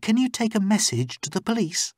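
A man's voice: sits high, sing-song, brisk, a little mellow, husky, dry recording, studio quality, voice pushed up, heavily acted. A man narrating a storybook, playing an anxious, wary woman held captive behind a locked door. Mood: wary, urgent.

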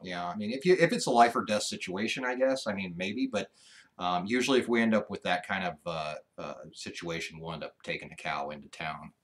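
Easygoing man in his forties, halting. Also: low-pitched. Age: 40s. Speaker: ranch livestream co-host. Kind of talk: casual live chat. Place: living room, on a leather couch.

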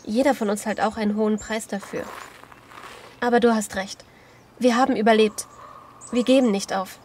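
A woman's voice: fairly high pitch, steady tone, normal speed, a little mellow, slight background noise, lightly acted. A woman playing a hopeful, inquisitive survivor in a horror audio drama. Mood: relieved, resolute.

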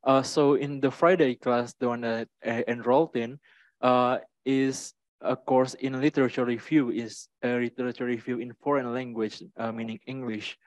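A man's voice: mid-range voice, fairly even intonation, normal speed, dry recording. A young man from Indonesia answering as a student panelist, explaining steadily with filler "uh" into a handheld mic. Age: young adult. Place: indoor meeting room.

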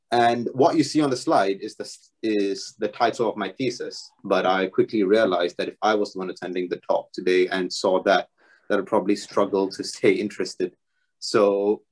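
Low-voiced young man giving a research talk. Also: rough recording. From Singapore. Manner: relaxed, self-deprecating, with frequent fillers. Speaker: doctoral student presenter. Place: home room, video talk.

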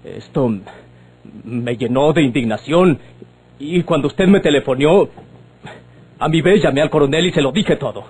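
An actor playing a defiant, indignant young son in an old radio drama, speaking formally and declamatorily. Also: male voice, fairly high pitch, normal speed, pauses often, darkish tone, breathy edge. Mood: grave, defiant. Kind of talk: old Mexican radionovela acting.

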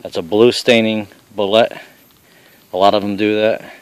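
A middle-aged man with a fairly low voice talks bluntly and casually, tossing off salty remarks. He is outdoors on a forest trail, recorded on a handheld camera. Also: steady tone, moderate pace, clean tone, no room sound. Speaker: father showing mushrooms on a hike.

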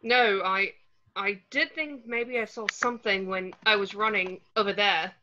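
A woman's voice: medium pitch, a little slow, bright, forceful tone, a little hiss or hum. A woman reading the part of an awkward, defensive young man at a table read, hesitant in manner. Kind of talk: amateur screenplay table read. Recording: dull video-call audio.

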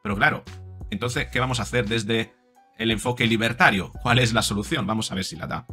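A man in his forties talks straight to camera into a desk mic in a home studio, thinking aloud with many small restarts. His voice is bright and forward, and faint music plays softly behind him. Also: mid-range voice, fairly even intonation, brisk. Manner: reflective, with many fillers and repeats. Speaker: psychologist and commentator. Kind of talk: video commentary to camera.

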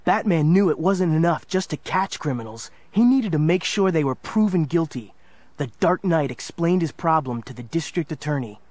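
An actor playing an earnest, youthful superhero sidekick, narrating a children's audio drama. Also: male voice, fairly high pitch, expressive, moderate pace, a little mellow, no echo. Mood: earnest storytelling. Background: music.

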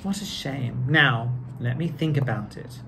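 A man's voice: medium pitch, unhurried, creaky, pushed and bright, slight background noise. A middle-aged man with a British accent reading a children's story aloud in a theatrical, genteel manner. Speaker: co-host of children's read-aloud channel. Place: living room with piano, indoors.

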